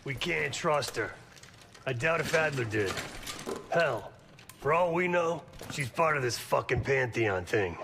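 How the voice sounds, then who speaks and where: Gravelly, cynical veteran operative. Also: male voice, mid-range voice, animated, a little slow, background noise. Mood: sardonic, distrustful. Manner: sardonic, blunt, folksy sayings. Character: gruff, cynical, foul-mouthed veteran male operative. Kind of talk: scripted game cutscene dialogue. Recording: loud music over the voice. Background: loud music.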